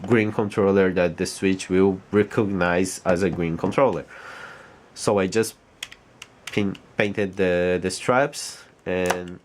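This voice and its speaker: Slow, halting low-voiced man. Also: slight background noise. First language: Portuguese. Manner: hesitant, halting, repeats words while searching for English. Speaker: vlogger. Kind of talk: casual rambling vlog.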